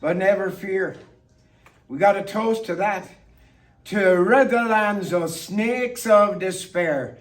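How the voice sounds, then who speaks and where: Male monologue performer, high voice, playful tipsy toastmaster delivery with deliberate pauses, picked up at a distance. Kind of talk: theatrical toast-making monologue. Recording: distant microphone.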